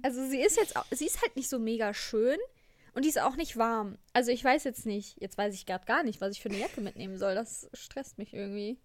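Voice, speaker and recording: A woman's voice: on the high side, fairly quick, slight background noise. A young woman co-hosting a podcast, chatting casually with a dry, teasing humor and frequent laughter. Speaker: podcast co-host. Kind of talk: casual chat.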